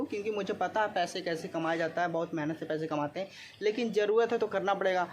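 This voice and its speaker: A man with an eastern Uttar Pradesh accent talking casually to viewers in a vlog, explaining things repetitively with frequent check-in tags. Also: high-pitched. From Gorakhpur, India. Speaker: vlogger. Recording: clearly noisy amateur vlog audio with ambience.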